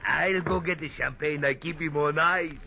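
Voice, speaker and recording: Wheedling heavy-set cantina proprietor, higher-pitched, sharp and bright, old radio drama. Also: male voice, moderate pace, vocal fry, slight background noise, full character voice. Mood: jovial, boastful. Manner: excitable, wheedling, broken English. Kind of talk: 1940s radio drama acting. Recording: old muffled radio recording.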